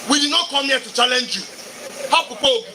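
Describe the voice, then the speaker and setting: Man doing a diplomatic igbo chief from nigeria, high strained stage voice, noisy live recording. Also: animated, quick pace. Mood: earnest appeal. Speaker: stage actor. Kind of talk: declamatory stage play acting.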